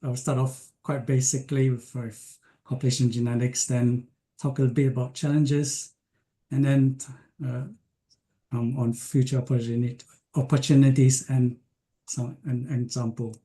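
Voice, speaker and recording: A man from Malaysia giving an online science lecture, speaking with frequent ums, pauses and restarts. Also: mid-range voice, flat delivery, warm tone. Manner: hesitant, many ums and restarts.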